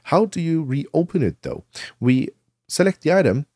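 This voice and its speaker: A man narrating a software tutorial in a conversational, explanatory way, asking and answering his own questions. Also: on the high side, very animated, moderate pace, breathy edge, no echo, clean studio sound. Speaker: tutorial presenter.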